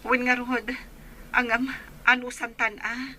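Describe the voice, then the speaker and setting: High, bright actress as worried, caring big sister, radio drama melodrama. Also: female voice, little pitch movement, unhurried, a little hiss or hum. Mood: apologetic, subdued. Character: anxious, caring eldest daughter and sister. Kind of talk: Ilocano radio drama acting.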